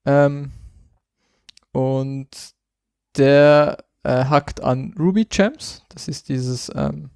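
Podcast co-host, male, mid pitch, gravelly edge, dry close sound, loose and rambling. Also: drawn-out words, no room sound. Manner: relaxed, many fillers, thinks aloud. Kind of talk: casual tech chat.

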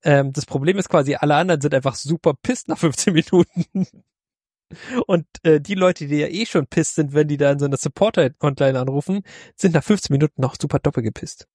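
A man's voice: a little high, a little fast, a little mellow, no room sound, clean studio sound. A man co-hosting a podcast, chatting casually about tech news in a laid-back, joking way. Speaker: podcast co-host.

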